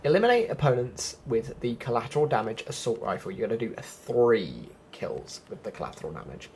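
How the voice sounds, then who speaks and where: A man's voice: mid-range voice, sing-song, fairly quick, creaky, darkish tone, slight background noise. A man giving a casual, chatty gameplay walkthrough, explaining things directly to viewers as a video host.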